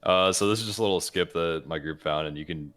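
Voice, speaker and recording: A man's voice: sits low, quick pace, fairly bright tone. A man co-hosting a gaming podcast, talking in a casual, conversational way. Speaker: podcast co-host.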